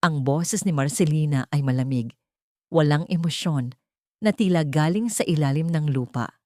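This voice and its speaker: A woman's voice: low voice, normal speed, darkish tone, no echo, studio quality. A woman storyteller reading a scripted dramatic audio story.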